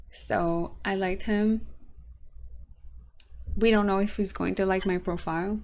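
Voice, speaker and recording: A young woman with a raspy, tired voice, talking casually to her camera in a rambling, halting vlog. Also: medium pitch. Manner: rambling, halting, frequent restarts and soft laughs. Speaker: vlogger.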